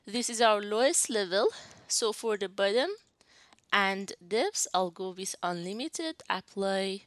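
A woman in her young adult years talks through a software tutorial, explaining each click and step as she goes. Her voice sits at a middle pitch and sounds close and dry, with no room around it. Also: measured pace, no room sound. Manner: step-by-step explanatory, thinking aloud. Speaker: instructor. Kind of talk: screen-recorded software tutorial. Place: indoors at a desk.

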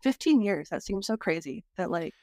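A woman's voice: mid-range voice, lively intonation, normal speed, dark tone, dry recording, studio quality. A woman hosting a podcast interview, asking questions in an informal, encouraging way. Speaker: podcast host. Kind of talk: casual interview.

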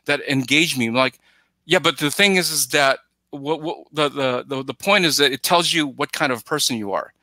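A middle-aged man with a very bright, forceful voice, slightly high for a man, gives conversational commentary. He circles back over phrases haltingly and acts out imagined reactions, in a dry recording. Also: no echo. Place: indoor room, video call.

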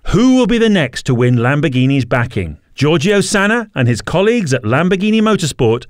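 A man narrating a motorsport feature as a reporter, in a lively, informative delivery. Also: fairly high pitch, brisk, dry recording, clean studio sound. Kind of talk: scripted narration.

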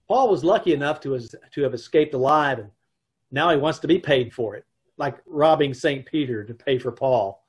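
A man's voice: mid-range voice, breathy edge. A middle-aged man playing a wry, exasperated husband who wisecracks about his troubles in an old-time radio comedy. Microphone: video call.

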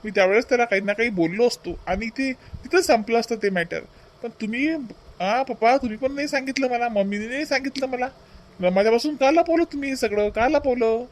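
A man's voice: extremely high pitch, brisk. A man playing a worried village family man in a comedy cartoon, emphatic and repeating phrases, with a Varhadi Marathi accent. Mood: hurt. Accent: Varhadi Marathi, Vidarbha. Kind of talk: comedy cartoon dialogue in rural dialect.

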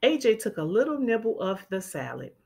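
A woman reading a children's storybook aloud as a teacher would, expressively and with clearly enunciated words for young listeners. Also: medium pitch, very animated, brisk, darkish tone.

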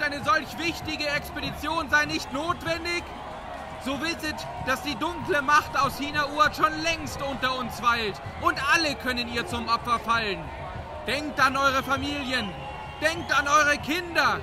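A man's voice: extremely high pitch, measured pace, distant mic, loud music behind the voice, pitched above the performer's own voice. A man voicing a pompous herald in an audiobook, proclaiming and rousing a crowd with fearful warnings. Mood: urgent warning. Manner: declamatory, projecting, oratorical.

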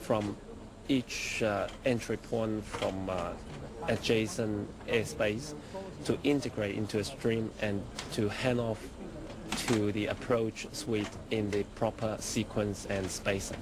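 A man's voice: on the low side, steady tone, takes it slowly. A man in his thirties explaining his controller job in an interview. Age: 30s. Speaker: air traffic controller. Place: dark windowless control room. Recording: noisy control room background.

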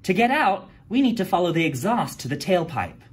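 A bookish storybook schoolgirl, put on by a man reading aloud explains what is happening in a high, raised voice. She sounds eager and sure of her research. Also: sing-song, fairly quick, noisy background. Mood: explaining. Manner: quoting research from her book.

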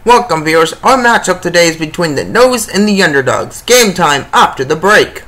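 Man playing a higher-voiced TV hockey announcer. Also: expressive, moderate pace, sharp tone. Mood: formal, welcoming. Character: male TV hockey announcer, formal and welcoming. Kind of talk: sports broadcast presenting.

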